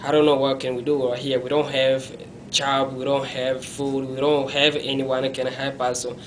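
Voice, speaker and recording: A young man recounts his past in a small indoor room, recorded from a camera a few feet away. His speech is halting, full of restarts and self-corrections, and his pitch stays level. A steady rumble runs under the recording. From Congo. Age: young adult. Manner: halting, self-correcting, non-native phrasing; gestures with his hands while talking. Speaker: interviewee. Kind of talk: personal story in an interview.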